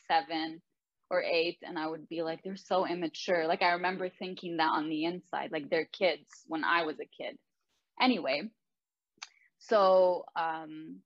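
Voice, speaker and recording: A young woman from Lebanon speaks in a low voice, reflecting candidly and earnestly on her own story with casual asides. The recording is dry and close, with a slightly dull, muffled tone. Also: no echo. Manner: candid and earnest, with many "like" and "you know" asides. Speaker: author sharing her life story. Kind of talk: personal reflective monologue.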